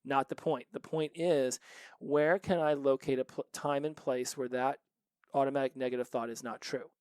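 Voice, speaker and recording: A man's voice: mid-range voice, steady tone, moderate pace, darkish tone, clear, resonant tone, no echo. A man in his forties hosting a recovery podcast, giving an earnest solo motivational talk full of rhetorical questions. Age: 40s.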